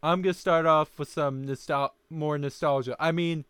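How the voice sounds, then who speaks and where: A man's voice: a little high, fairly even intonation, moderate pace, fairly bright tone, clear, resonant tone, no room sound. A young man co-hosting a casual podcast chat, often restarting his sentences. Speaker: podcast co-host.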